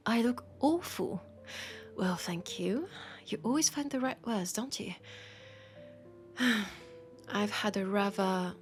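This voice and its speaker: A woman with a French accent playing a weary, passionate bookshop owner in an intimate roleplay, softly and pensively teasing a vampire customer. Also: medium pitch. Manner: unhurried, pensive, teasing, with sighs and pauses. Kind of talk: intimate audio roleplay, scripted monologue addressed to listener.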